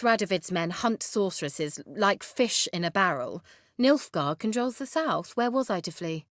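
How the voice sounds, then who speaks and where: A haughty young sorceress from a fantasy game, acted by a woman. Her speech is teasing and sardonic, with a very animated, expressive pitch. The sound is dry, with no room around it. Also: dry recording, close to an everyday voice. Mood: grave, indignant. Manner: haughty, teasing, biting sarcasm. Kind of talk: video game character dialogue.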